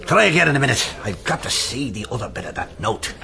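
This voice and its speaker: An actor playing a troubled, brooding police detective in a radio drama, musing in hesitant, clipped fragments. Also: male voice, medium pitch, big pitch swings, quick pace, creaky, crowd noise.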